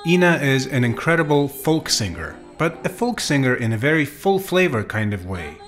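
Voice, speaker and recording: A man from New York narrating a scripted voice-over about his band in a measured, even way. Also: mid-range voice. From grew up in New York City. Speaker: bandleader and composer. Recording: voice with loud music behind.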